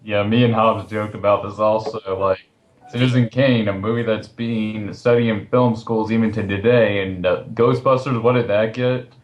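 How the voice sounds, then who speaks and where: A man's voice: on the low side, fairly even intonation, moderate pace, highs cut off. A man who reviews online, hosting a casual film chat over a voice call. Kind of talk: casual chat about films. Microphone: voice call on a computer. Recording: faintly noisy internet voice call.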